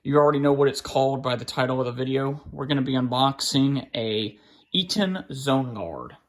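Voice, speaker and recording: A man giving a casual, unscripted unboxing narration in a halting, informal way, thinking aloud as he goes. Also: mid-range voice. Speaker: hobbyist collector presenting an unboxing. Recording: faint background noise, clicks of handling.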